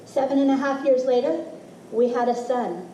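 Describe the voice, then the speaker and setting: A middle-aged woman reading a personal essay aloud as expressive, deliberate storytelling with dramatic pauses. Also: high-pitched, dull audio. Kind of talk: literary reading of a personal essay to a live audience. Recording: roomy, distant mic with faint noise.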